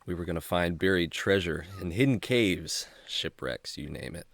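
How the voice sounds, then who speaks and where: A man's voice: sits low, animated, normal speed, creaky, a bit bright, dry recording, full character voice. A man playing a friendly, earnest young adventurer in a children's radio drama, speaking like a clean-cut storyteller. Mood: nostalgic.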